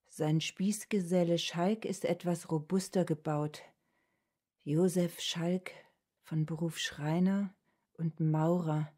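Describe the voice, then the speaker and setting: Radio drama casting: haunted Viennese household cook, husky mellow voice, halting delivery. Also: female voice, lowish voice. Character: traumatised, subdued female household cook in 1920s Vienna. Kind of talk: German radio drama acting.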